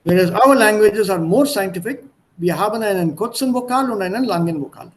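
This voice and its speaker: High-pitched Indian-accented male teacher. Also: animated, a little fast. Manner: didactic, repeats and demonstrates sounds. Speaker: language teacher. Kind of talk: online language lesson.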